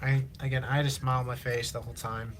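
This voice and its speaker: A teenage man from Illinois, chatting casually on a live stream while he builds, rambling with lots of fillers, his voice slightly raspy from long talking. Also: medium pitch, little pitch movement, slight background noise. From Illinois, USA. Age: teens. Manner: rambling, halting, many fillers and restarts. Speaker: livestreamer.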